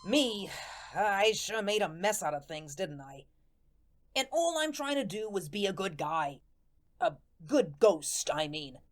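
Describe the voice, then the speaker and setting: A woman's voice: mid-range voice, big pitch swings, measured pace. A woman playing the earnest, hapless ghost of a murdered tough guy in a radio drama, talking in folksy slang. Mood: chatty, rueful. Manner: folksy slangy speech with dropped grammar and rhetorical questions. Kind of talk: radio drama first-person monologue.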